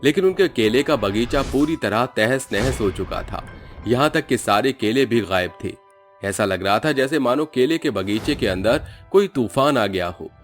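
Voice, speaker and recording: A man's voice: medium pitch, lively intonation, moderate pace, faint music behind. A man giving scripted narration of a moral story as its storyteller.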